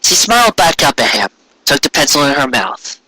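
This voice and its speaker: Bright, expressive male story narrator. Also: fairly high pitch, moderate pace, no echo, low-quality recording. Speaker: narrator reading fan fiction. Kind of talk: scripted story narration, dramatic reading.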